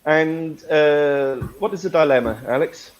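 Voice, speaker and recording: A man's voice: on the high side, measured pace. A middle-aged man hosting a conversational online English lesson, phrasing things hesitantly with tag questions. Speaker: English teacher, webinar host.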